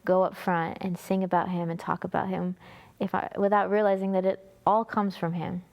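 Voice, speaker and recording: A woman's voice: fairly low pitch, flat delivery, moderate pace, darkish tone. A young woman with a North American accent, telling a personal testimony as an interview guest in an earnest, conversational way. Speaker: guest, music ministry singer.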